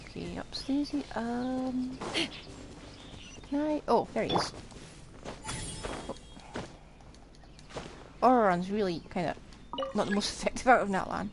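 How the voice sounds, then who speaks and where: A woman's voice: fairly high pitch, unhurried, pauses often. A woman giving casual live gameplay commentary, chattily thinking aloud to herself. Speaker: game streamer. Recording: clearly noisy background.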